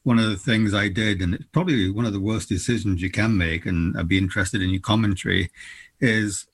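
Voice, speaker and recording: A lowish man's voice with a Scranton, Pennsylvania accent and a husky grain. He asks questions and tells short stories in a measured, conversational way. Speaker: co-host. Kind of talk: casual interview chat.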